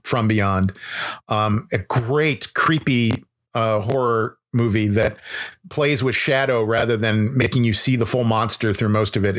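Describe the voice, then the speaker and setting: A man's voice: medium pitch, fairly bright tone. Talkative man chatting as podcast guest. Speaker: podcast guest, horror author. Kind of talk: casual interview chat.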